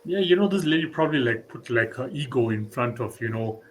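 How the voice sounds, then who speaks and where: A man's voice: medium pitch, animated, a little fast, a little hiss or hum. A man hosting a podcast, chatting casually and encouraging his guest with frequent agreement. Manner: encouraging, frequent "yeah" and "you know". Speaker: podcast host. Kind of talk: casual interview chat.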